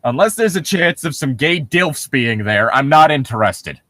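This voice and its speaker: A man's voice: fairly high pitch, animated, normal speed, fairly bright tone, no room sound. A man co-hosting a mock story reading, switching between reading aloud and sarcastic, profane commentary.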